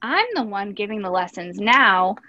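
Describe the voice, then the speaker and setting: A woman's voice: mid-range voice, normal speed, pushed and bright. A woman reading a picture book aloud as a teacher, expressively, with instructive asides. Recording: echoey room, dull lo-fi audio.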